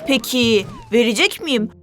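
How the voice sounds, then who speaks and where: A woman voicing a stubborn, selfish and bossy young sea creature in a children's cartoon, defiant and quick to argue. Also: on the high side, expressive, a bit bright, soft background music. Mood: stubborn, resentful. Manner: defiant, argumentative, quick to take offense.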